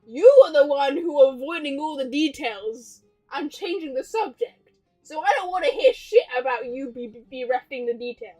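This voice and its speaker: A woman with a high voice gives chatty livestream commentary on a game. She is playful and quick to react, with faint music in the background. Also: lively intonation, dark tone, poor audio. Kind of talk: casual chatty gameplay commentary.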